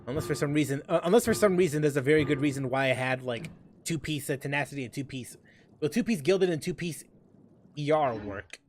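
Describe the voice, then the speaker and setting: A man's voice: on the high side, lively intonation, fairly quick, a little hiss or hum. A man streaming a game live, thinking aloud as he plays and answering viewers' questions in a loose, chatty way. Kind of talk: live gameplay commentary.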